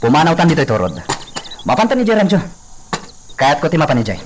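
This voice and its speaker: A man's voice: on the high side, sing-song, quick pace, slight background noise. A man with an Ilocano accent playing an assertive hacienda master who gives orders in a radio drama.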